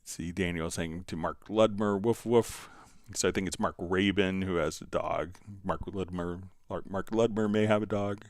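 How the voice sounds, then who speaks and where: Low, smooth-voiced man hosting a tutorial. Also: fairly even intonation, moderate pace, few pauses, dry recording, studio quality. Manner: explains step by step while working. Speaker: live stream host, software instructor. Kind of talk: casual software tutorial, answering viewer questions.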